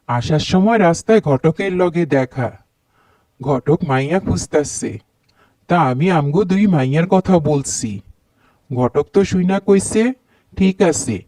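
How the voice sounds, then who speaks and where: A man voicing a rustic, soft-hearted middle-aged father in a cartoon, with a Bangladeshi accent and a pleading manner. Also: a little high, lively intonation, measured pace, pauses often, no echo. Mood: eager. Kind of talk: Bengali cartoon voice acting.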